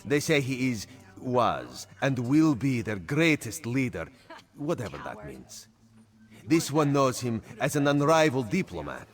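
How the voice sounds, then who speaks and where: A wry, humble cat-man agent from a fantasy video game. His mid-pitched speech rises and dips with lively swings, and music plays clearly behind him. He talks about himself in the third person. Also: measured pace. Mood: wry, measured. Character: sly, humble male Khajiit cat-man agent, wryly loyal. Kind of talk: video game character dialogue.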